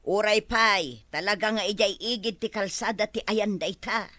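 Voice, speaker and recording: A woman's voice: medium pitch, normal speed, pushed and bright, dry recording, lightly acted. A woman acting an insistent village character in a radio comedy drama.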